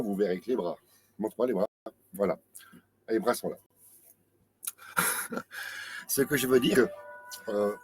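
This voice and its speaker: A man's voice: medium pitch, a little mellow, slightly rough. Chatty French tai chi teacher in his fifties. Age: 50s. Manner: chatty, self-mocking, quick asides. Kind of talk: live-streamed class explanation.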